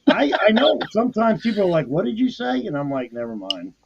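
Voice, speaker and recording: A man's voice: sits high, wildly swooping pitch, brisk, gravelly, slightly rough. A man with a New York accent bantering bluntly with friends on a live stream. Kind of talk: casual chat. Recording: muffled lo-fi audio.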